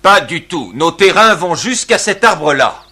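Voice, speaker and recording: A proud cartoon chief of prehistoric hunters. His voice is high for a man and forcefully bright, and he is assertive and boastful, standing his ground. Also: animated, a little slow, slightly rough, exaggerated voice. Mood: defiant. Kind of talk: animated cartoon acting.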